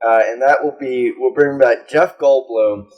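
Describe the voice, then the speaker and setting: A man's voice: medium pitch, measured pace, lo-fi sound. A young man co-hosting a podcast, rambling through crude jokes with lots of fillers and laughs. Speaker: podcast co-host. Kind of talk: casual comedic chat.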